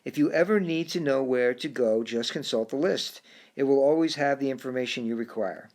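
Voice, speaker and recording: A man voicing a calm, courteous male frog with an English accent, who speaks formally and keeps saying sir in an audiobook story. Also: medium pitch, a little fast, close to an everyday voice.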